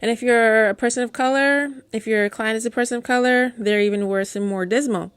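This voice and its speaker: A middle-aged woman doula who stutters, giving candid, warm advice to new doulas in a casual talk with playful asides. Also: fairly high pitch, steady tone, a little fast, smooth, no echo. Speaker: doula and course teacher.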